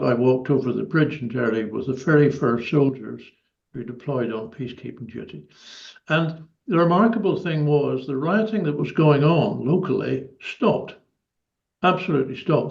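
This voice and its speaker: An elderly man with a Northern Irish accent recounting his personal history as a guest, in a measured, articulate way. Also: medium pitch, gravelly, highs cut off. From Northern Ireland. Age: 70s or older. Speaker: interview guest, former army officer.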